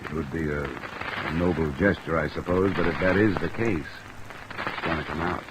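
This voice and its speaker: An actor playing a cool, probing insurance investigator in a 1950s radio drama, measured, dry and matter-of-fact. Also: male voice, extremely low pitch. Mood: probing, calm. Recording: old radio recording under loud rain noise. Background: steady rain sounds.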